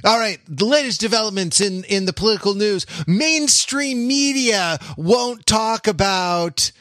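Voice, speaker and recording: A man's voice: high voice, expressive, measured pace, a bit bright, no room sound, studio quality. A man hosting a podcast in casual comedic chat, with a jokey, mock-serious way of talking. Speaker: podcast host.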